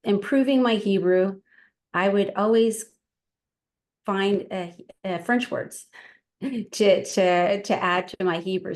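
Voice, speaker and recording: A woman in her forties from Queens, New York, speaks in a slightly low voice as a podcast guest. She explains things carefully. She often hesitates, restarts words and adds small fillers. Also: a little mellow. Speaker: interview guest. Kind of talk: casual interview.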